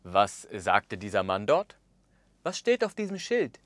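A man in his thirties presenting a language course in a studio, speaking with expressive gestures and acting out the example phrases. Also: lowish voice, a little slow, dry recording. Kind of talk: scripted lesson narration. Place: studio with orange backdrop.

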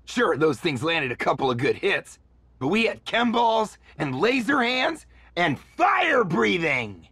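Casting: goofy young fanboy for a game, bright raspy voice, dramatic enthusiasm. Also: male voice, high voice, big pitch swings, measured pace, dry recording, full character voice. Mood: baffled, boastful. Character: goofy, overexcited young comic-book fan in monster suit.

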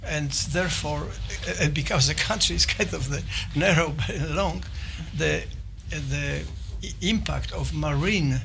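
An older man with a Polish accent gives a formal talk in an office meeting room, halting and restarting as he searches for words. His voice is a little high with a gravelly edge. The far camera picks up clear room noise around him. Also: fairly bright tone. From Poland. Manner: halting, with many fillers and restarts. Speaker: visiting scholar presenting. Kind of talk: formal presentation to a small audience.

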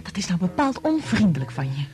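An actress in a radio drama playing a weary, secretive married woman with a husky, breathy voice. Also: fairly low pitch, big pitch swings, fairly quick, over music. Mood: defensive.

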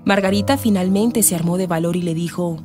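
Female radio drama narrator, lower register, scripted delivery over music. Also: brisk. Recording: clear music behind the voice.

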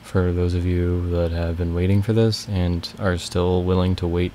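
A young man with a raspy voice, reading a fantasy novel aloud as a home audiobook from his bedroom. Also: sits low, slight background noise. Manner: slow, casual intro then steady reading. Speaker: amateur audiobook reader. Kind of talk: audiobook narration.

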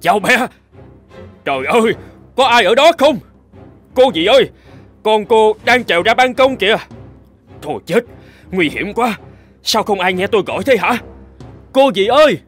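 An actor playing an anxious, kindly middle-aged homeowner in a cartoon ghost story, given to dramatic talking to himself. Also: male voice, sits high, normal speed, pauses often, slightly rough, soft background music, heavily acted. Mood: panicked, calling out. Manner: expressive, dramatic, muttering to himself. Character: anxious, kindly older male homeowner, newly moved in.

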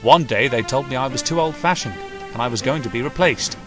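A man's voice: mid-range voice, expressive, brisk, over music, natural voice. Man as a mild, old-fashioned little tank engine. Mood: reminiscing. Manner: mild, happy. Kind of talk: children's railway storybook character voice.

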